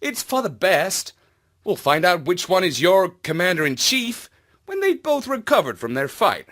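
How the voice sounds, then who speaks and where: A man voicing a polite, earnest human commander who speaks in a measured, slightly hesitant way for a children's story. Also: high-pitched, big pitch swings, a bit bright. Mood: tentative, polite.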